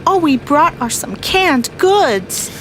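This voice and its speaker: A sensible, doubtful mother bear played by a woman speaks in a high voice. She objects mildly and practically, as part of a children's storybook audio play. Outdoor vehicle noise is clearly heard behind her. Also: very animated, slow pace, full character voice, low-quality recording.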